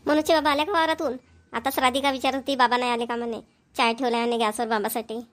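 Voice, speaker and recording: Woman playing a high-voiced doting village grandmother. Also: level tone, quick pace, natural voice. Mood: homely, tired. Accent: rural Maharashtrian. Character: doting elderly village grandmother, gentle and talkative. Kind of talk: cartoon family dialogue.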